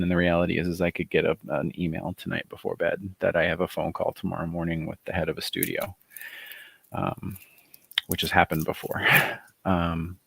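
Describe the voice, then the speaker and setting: A middle-aged man with a soft, gravelly voice, chatting casually and rambling on a live stream while showing handmade dice. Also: low-pitched, no echo. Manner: relaxed, rambling, many fillers. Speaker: author hosting a live chat.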